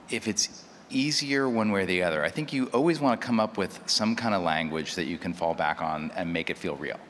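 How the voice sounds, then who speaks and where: A man with a very low voice speaks as a panelist in an unscripted discussion. He explains thoughtfully and hesitantly, with long hall reverb and background noise around him. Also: fairly bright tone. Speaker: panelist, audio drama producer.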